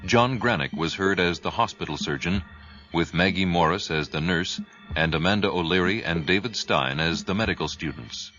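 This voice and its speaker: A man announcing as a formal, measured radio drama announcer, reading scripted closing credits. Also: low voice, bright, forceful tone. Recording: slightly dull radio broadcast recording. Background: music.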